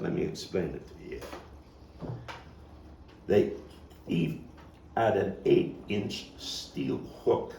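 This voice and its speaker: A man performing a theatrical monologue as a coarse, wry, streetwise Brooklyn man looking back on his boyhood gang, telling it with dramatic pauses and emphatic swells. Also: sits low, gravelly, a little mellow, full character voice. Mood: relishing, excited. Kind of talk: theatrical monologue, table read. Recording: roomy, distant mic, faint noise.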